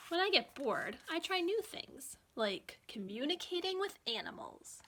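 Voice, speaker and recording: Female storytime reader: unhurried, highly expressive, slightly high voice, clearly addressing small kids. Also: heavy background noise. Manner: expressive, deliberate phrasing for young listeners. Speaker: children's librarian reading aloud. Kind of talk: animated children's storytime read-aloud.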